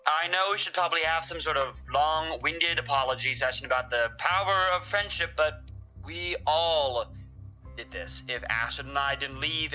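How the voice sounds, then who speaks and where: A man's voice: on the high side, sharp tone, rough recording. A man playing a weary but resolute young friend in an audio drama, earnest and wry.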